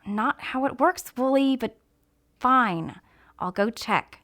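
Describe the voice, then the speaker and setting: Female sidekick acted by a woman reader, higher voice, matter-of-fact and wry, lively audiobook delivery. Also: slow, dry recording, clean studio sound. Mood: dry, exasperated. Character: brisk, wry female companion to a sleuth.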